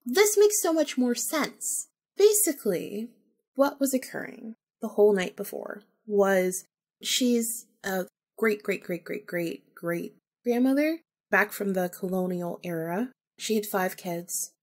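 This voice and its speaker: A woman's voice: mid-range voice, expressive, takes it slowly, darkish tone. A woman podcast host telling a personal story casually, informally acting out remembered bits of dialogue.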